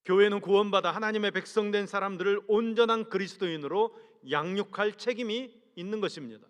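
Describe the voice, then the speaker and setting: A man, a senior pastor, preaching a sermon earnestly, asking the congregation rhetorical questions and letting sentence ends fall away low. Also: clear, resonant tone. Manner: measured delivery, trails off low at sentence ends. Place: large church sanctuary. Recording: long reverb from a church hall.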